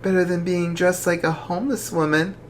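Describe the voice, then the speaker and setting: A storyteller voicing a smug, rich young woman with a taunting manner, pitched above their own voice. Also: fairly even intonation, a little fast, slight background noise, natural voice.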